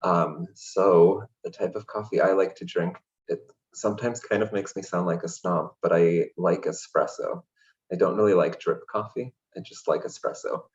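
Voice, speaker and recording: A man from Hungary, a guest in an interview, speaking in a relaxed, chatty way with many fillers over a video call. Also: deep voice, moderate pace, highs cut off. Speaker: interview guest, language access programs director. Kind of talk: casual interview conversation.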